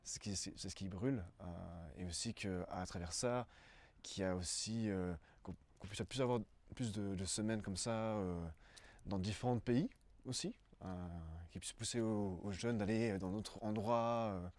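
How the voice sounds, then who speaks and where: A young man in his twenties from Brussels shares his experience in an informal interview. His voice sits a little low and is recorded very dry. He speaks haltingly, often repeating words as he looks for the next one. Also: a little mellow, dry recording. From Brussels, Belgium. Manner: hesitant, searching for words, repeating himself. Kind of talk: casual testimony in an interview.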